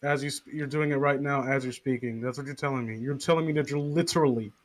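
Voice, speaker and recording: A man's voice: medium pitch, steady tone, quick pace. A man chatting casually with friends as a podcast co-host, rambling, sarcastic and swearing freely.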